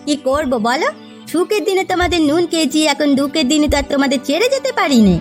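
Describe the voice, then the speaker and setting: A woman acts a faithful, practical housemaid from a cartoon folk tale. She talks quickly in a very high voice, earnest and gently coaxing. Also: animated, faint music behind. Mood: devoted. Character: loyal, practical young maidservant, caring and encouraging. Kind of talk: cartoon fairy-tale dialogue.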